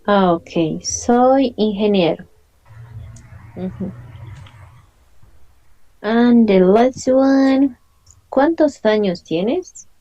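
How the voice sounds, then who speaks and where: A woman's voice: medium pitch, lively intonation, extremely slow, long pauses, dark tone, lo-fi sound. A woman, teaching an online language lesson and patiently explaining and repeating questions. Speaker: Spanish teacher.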